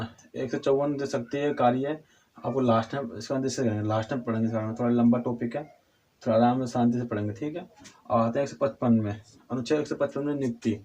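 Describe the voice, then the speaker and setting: A hoarse man with a cold teaching a civics lesson, halting and restarting often, asking questions and answering them himself. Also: mid-range voice, a little mellow. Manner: halting, with frequent restarts and throat clearing; addresses viewers as friends, asks and answers own questions.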